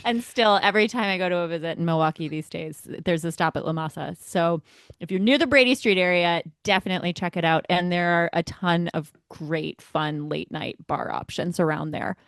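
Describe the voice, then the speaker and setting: A woman's voice: lowish voice, fairly quick, dry recording, clean studio sound. A woman co-hosting a podcast, chatting casually and giving her opinions with emphasis. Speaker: podcast co-host. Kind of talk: casual chat.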